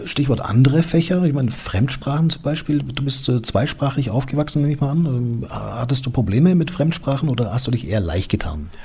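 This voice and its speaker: A man asking curious, prompting questions as interviewer in a relaxed conversation. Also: mid-range voice, brisk, hardly any pauses, slightly rough.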